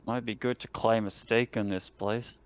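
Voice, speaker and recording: A man's voice: fairly low pitch, fairly even intonation, moderate pace, clear, resonant tone. A man chatting casually as a player in a tabletop role-playing game.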